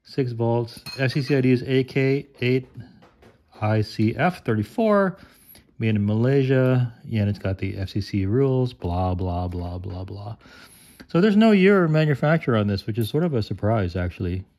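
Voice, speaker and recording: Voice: medium pitch, big pitch swings, moderate pace, a little mellow. A host of a gadget teardown video giving casual hands-on commentary in a rambling, wry, mildly profane way. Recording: noisy room, clicking tools nearby.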